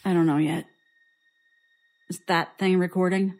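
A woman's voice: on the low side, fairly even intonation, unhurried, vocal fry, a little mellow. A woman playing a bitter, impatient survivor in her thirties in an audio drama, curt and sarcastic in a natural style. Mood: weary.

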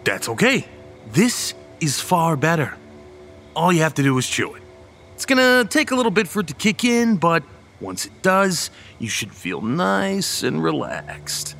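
A man's voice: fairly high pitch, sing-song, a little slow, creaky, fairly bright tone, faint music behind, exaggerated voice. A young man playing a cocky, goofy college student in an audio horror drama, flippant and casual. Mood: reassuring, playful. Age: young adult. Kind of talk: radio horror drama acting.

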